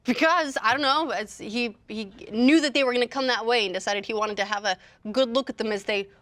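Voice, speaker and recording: A woman's voice: a little high, brisk, fairly bright tone. A woman talking casually as a panelist, opinionated, with sarcastic exaggeration. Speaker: panelist on a video game movie show.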